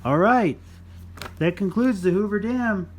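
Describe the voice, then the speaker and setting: A man's voice: sits high, animated, unhurried, slight background noise. A man hosting a children's story time, reading a non-fiction book aloud in an instructive, playful way for young listeners.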